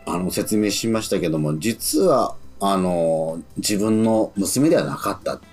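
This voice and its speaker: A man's voice: sits low, lively intonation, a little slow, a little mellow, slightly rough, faint music behind. A man hosting a radio talk show, politely interviewing guests and often chiming in as he listens. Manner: polite, frequent listener responses.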